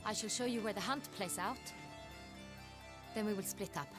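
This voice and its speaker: An actress playing an imperious, quick-witted noblewoman ruler in a video game, with a formal, commanding manner. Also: mid-range voice, lightly acted. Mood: brisk, assured. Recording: music playing behind.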